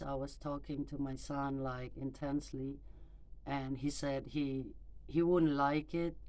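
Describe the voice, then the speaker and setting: Halting, slow-talking non-native woman. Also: rumbling low, darkish tone, low-quality recording. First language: neither English nor German. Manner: halting, hesitant, many fillers and restarts. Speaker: mother vlogger. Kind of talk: personal vlog monologue. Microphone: phone camera.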